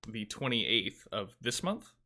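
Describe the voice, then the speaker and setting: Bright-voiced young male interviewer. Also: lowish voice, very animated, slow. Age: 20s. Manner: upbeat, encouraging, hesitant fillers. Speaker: interviewer, host. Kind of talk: casual interview.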